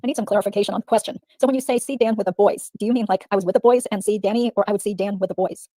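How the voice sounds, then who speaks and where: A woman reading the part of a female witness giving guarded, measured answers in a deposition. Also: medium pitch, steady tone, dark tone, dry recording, lightly acted. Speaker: reader in a deposition reenactment. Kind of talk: read-aloud legal reenactment.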